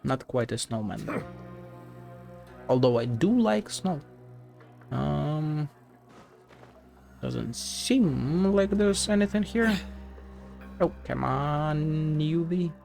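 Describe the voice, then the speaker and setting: A game streamer thinking out loud in a playful, foul-mouthed way. The delivery is unhurried with lots of vocal fry, and the pitch jumps around. Faint music sits underneath. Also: expressive. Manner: playful, sweary, talking to self. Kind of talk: casual gameplay commentary.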